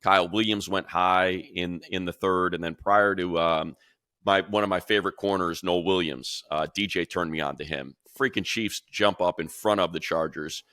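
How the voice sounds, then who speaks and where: A man with a very low, bright and forceful voice breaks down football picks for a podcast. He rambles excitedly and restarts his sentences often. The recording is dry and close. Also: dry recording. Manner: rapid, rambling, excitable, full of fillers and restarts. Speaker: radio play-by-play announcer and co-host. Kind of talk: casual football podcast analysis.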